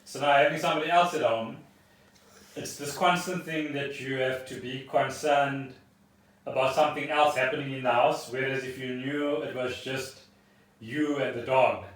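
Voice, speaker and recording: South African man in an echoey room. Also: medium pitch. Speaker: podcast co-host. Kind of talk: casual chat. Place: room at home. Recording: echoey room, far from the mic.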